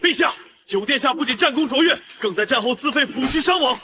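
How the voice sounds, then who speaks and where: A male actor as an urgent imperial herald in an animated costume drama. The voice is very high and bright, swinging widely as it declaims with fervor. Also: quick pace, exaggerated voice. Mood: fervent. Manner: fervent, declamatory. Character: urgent imperial courier and herald shouting reports. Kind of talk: Chinese animated web drama voice acting.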